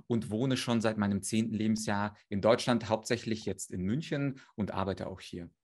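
Gast, Mann aus der Ukraine, tiefe Lage, souverän und erklärend. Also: male voice, fairly low pitch, normal speed, darkish tone. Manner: fluent, explanatory. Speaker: rhetoric trainer, guest. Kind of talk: expert interview.

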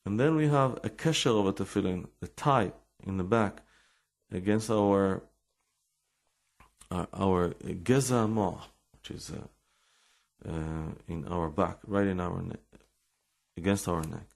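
A man with an Israeli accent giving an informal religious talk with personal stories, halting and repeating words as he searches for English. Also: sits low, vocal fry.

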